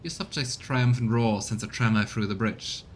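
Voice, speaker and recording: Man reading game text with rumbly noise. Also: moderate pace. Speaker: let's play streamer. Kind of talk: voiced let's play, reading aloud with reactions. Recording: low rumbling background noise.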